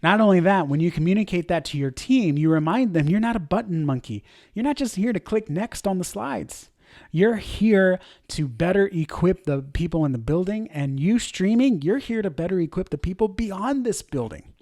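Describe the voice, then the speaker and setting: A man's voice: high-pitched, darkish tone, no echo, studio quality. A man in his thirties, a church media director, teaching a leadership lesson in an informal, conversational way, with fillers and self-corrections. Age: 30s.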